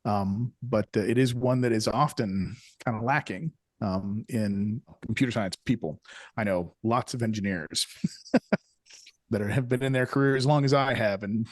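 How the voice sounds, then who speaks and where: A man's voice: lowish voice, moderate pace, no room sound. A middle-aged man co-hosting a podcast, reflective and conversational, with frequent you-knows. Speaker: podcast co-host.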